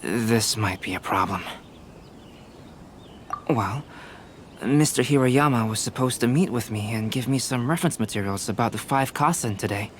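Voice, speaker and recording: A man voicing a calm, composed young male painter in a video game, speaking in a measured way. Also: medium pitch, slight background noise, lightly acted. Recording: game audio with faint score.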